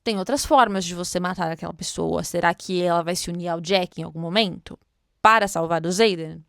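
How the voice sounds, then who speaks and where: A woman's voice: fairly low pitch, brisk, gravelly, dry recording, studio quality. A woman with a Brazilian accent hosts a book read-along, commenting casually and thinking aloud in an informal, chatty way.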